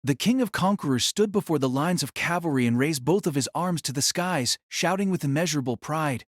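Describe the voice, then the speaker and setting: A man delivers scripted fantasy narration with theatrical suspense. His intonation is very animated, on a slightly raised pitch, and the recording is dry and close. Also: fairly quick, dry recording. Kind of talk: dramatic scripted story narration.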